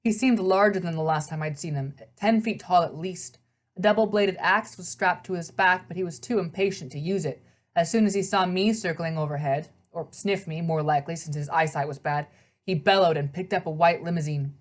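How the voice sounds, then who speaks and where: A woman's voice: lowish voice, fairly quick. A woman reading a children's novel aloud as narrator, in scripted storybook narration.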